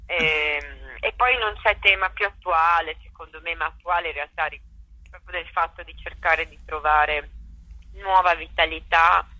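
A woman theatre director and actress in a relaxed interview, reflective and searching for words, with frequent restarts. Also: sits low, measured pace, no room sound, rough recording. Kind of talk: conversational interview.

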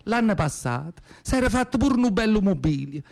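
A man's voice: high voice, big pitch swings, brisk, creaky, darkish tone, full character voice. A male actor playing an elderly southern Italian mother, rambling and confiding her memories in Neapolitan dialect in a radio monologue. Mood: resigned, plaintive. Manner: rambling, confiding reminiscence with repeated phrases. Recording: guitar music behind the voice. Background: plucked guitar music.

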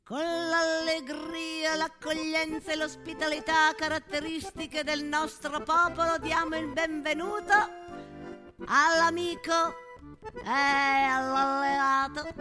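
A woman's voice: high-pitched, level tone, takes it slowly, pitched above the performer's own voice. A woman playing a fiery male political demagogue, declaiming emphatically in a theatrical stage monologue. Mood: pompous welcome. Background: music.

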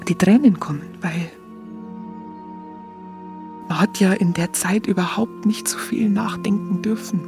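A woman playing a tender, earnest young Munich woman with a Bavarian accent, confiding haltingly in a radio drama. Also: medium pitch. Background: music.

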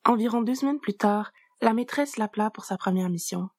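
A woman narrating a fan story in a calm, even storytelling manner. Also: medium pitch, a little fast. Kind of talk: reading a fan story aloud.

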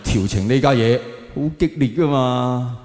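A man's voice: mid-range voice, measured pace, darkish tone. A man with a Hong Kong Cantonese accent doing colloquial stand-up, addressing the audience with rhetorical tag questions. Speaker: stand-up comedian on stage. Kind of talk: stand-up comedy monologue to a live audience. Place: on stage in a large hall. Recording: echoey large hall with long reverb.

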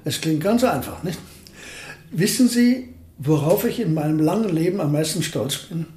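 High-voiced, courteous old physicist played by a man. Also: animated, moderate pace, dark tone, echoey room, lightly acted. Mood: pleased, modest. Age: older. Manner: polite, pedantic, formal phrasing. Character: elderly genius physicist, courteous, absent-minded, self-satisfied. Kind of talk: German radio play acting.

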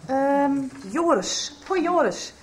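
A woman's voice: high-pitched, expressive, takes it slowly, slight background noise, rough recording. An actress playing a chatty, confident woman in casual conversation in a radio soap. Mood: friendly, casual. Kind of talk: Dutch radio soap acting.

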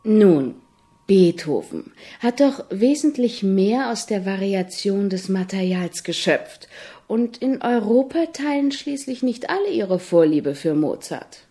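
A persistent, highbrow woman journalist in a radio play. Her voice is soft-edged and mellow at a medium pitch. She puts wordy, erudite questions with formal earnestness. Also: lively intonation, measured pace. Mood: insistent, pedantic.

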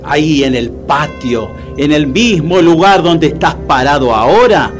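A man's voice: fairly high pitch, animated, soft background music. An actor playing a gloomy, self-pitying Argentine man who mocks and menaces theatrically in a comic audio drama, with a Rioplatense accent. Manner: dramatic, teasing, drawn-out menace.

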